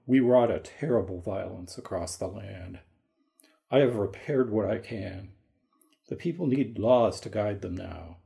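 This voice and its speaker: A stern, fatherly god-king acted by a man with a low, gravelly, mellow voice. The lines are grave audio drama dialogue. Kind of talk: radio-play story reading.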